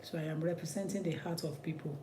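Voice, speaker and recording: A man's voice: fairly high pitch, fairly even intonation, quick pace, background noise. A man with a Nigerian accent, an immigration lawyer giving impassioned commentary in an emphatic, repetitive, preacherly way.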